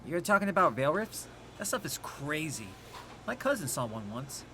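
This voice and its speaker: A man's voice: fairly high pitch, big pitch swings, normal speed, bright, forceful tone, a little hiss or hum, heavily acted. A man playing a breezy, snide assistant manager of a coffee shop in an audio drama. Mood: curious, casual.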